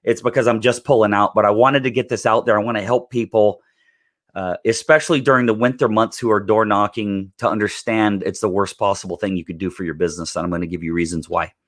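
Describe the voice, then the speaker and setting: Raspy emphatic man teaching a training. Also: fairly low pitch, fairly even intonation, fast talker. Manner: emphatic, repeats points, clears throat often. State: raspy voice, recovering from illness. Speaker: real estate trainer. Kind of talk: live training presentation.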